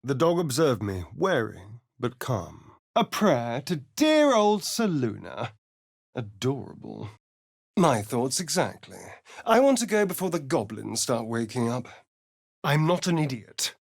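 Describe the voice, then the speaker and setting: An actor playing a sardonic, vain vampire spawn rogue in a video game, with a theatrical, drawling British delivery. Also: male voice, medium pitch, big pitch swings, unhurried, creaky, dry recording, studio quality, exaggerated voice. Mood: arch, amused, then exasperated, alarmed. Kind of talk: video game character voice lines.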